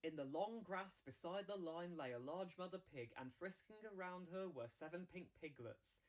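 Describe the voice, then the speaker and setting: A man's voice: high voice, moderate pace. A man narrating a children's storybook from a script.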